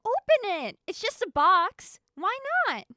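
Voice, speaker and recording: A mischievous party god acted by a woman drawls slowly in a very high, swooping voice. The manner is slangy, teasing and laid-back, a young co-host in an amateur audio drama. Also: big pitch swings, sharp tone, full character voice. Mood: egging on.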